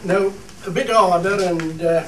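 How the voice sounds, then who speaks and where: A man's voice: sits high, normal speed, lightly acted. A man playing a bustling Lakeland shepherd running a rowdy meeting in a dialect radio play, with a Cumbrian accent. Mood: businesslike. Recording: echoey room, distant mic, noisy.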